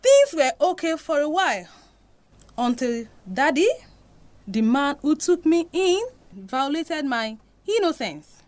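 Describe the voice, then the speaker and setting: A woman's voice: high voice, sing-song, slow, no room sound, heavily acted. A woman playing an anguished, devout Nigerian mother confessing a painful past in an audio drama. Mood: sorrowful confession.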